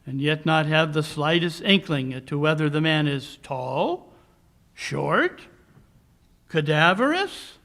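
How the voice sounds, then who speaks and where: A man's voice: fairly high pitch, big pitch swings, a bit bright, exaggerated voice. A man playing a coolly brilliant, brooding Victorian detective in a 1940s radio mystery style, speaking in precise, declamatory phrases. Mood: musing, dry. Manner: deliberate, precise, declamatory monologues. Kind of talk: 1940s radio mystery acting, live re-creation.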